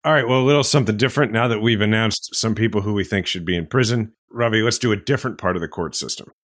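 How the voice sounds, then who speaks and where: A man's voice: lowish voice, fast, a bit bright, no room sound. A man co-hosting a political podcast, speaking casually and emphatically. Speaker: podcast co-host.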